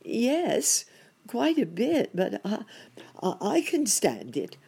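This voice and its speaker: A storyteller voicing a mild, timid gentleman rabbit in a storybook, hesitant and given to exclaiming. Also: mid-range voice, huge pitch swings, very slow, creaky, husky, pitched above the performer's own voice, exaggerated voice. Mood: bravely enduring.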